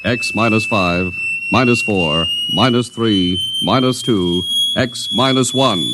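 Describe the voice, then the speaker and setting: A man announcing a 1950s radio show from a script in a dramatic, measured delivery. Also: fairly low pitch, heavy background noise. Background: music.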